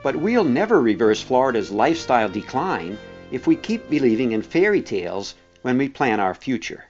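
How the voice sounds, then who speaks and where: A man narrating a scripted documentary in a measured, pointed way with deliberate emphasis. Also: medium pitch, very animated. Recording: dull, band-limited sound with music behind.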